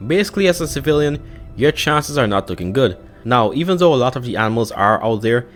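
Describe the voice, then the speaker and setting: A man narrates at a quick clip, with music playing underneath. His delivery is a scripted explainer with a casual, conversational turn of phrase, sitting at an average male pitch. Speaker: narrator of a YouTube explainer channel. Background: background music.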